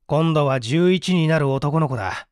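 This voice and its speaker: A man voicing a gruff, dismissive husband and father with blunt, brusque speech. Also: fairly high pitch, a little slow, a little mellow, no room sound, studio quality, lightly acted. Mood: grim.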